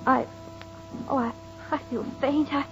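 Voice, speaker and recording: An actress playing a gentle, dreamy young noblewoman wife in an old-time radio drama, refined and wistful in her speech. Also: high-pitched, steady tone, unhurried. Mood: weak, faint. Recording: muffled old radio recording, faint music.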